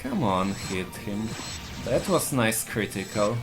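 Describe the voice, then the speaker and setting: Male speedrunner with a Polish accent and a slightly low voice; calmly explains tactics over game music. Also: takes it slowly. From Poland. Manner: explains tactics plainly while playing. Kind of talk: live gameplay commentary.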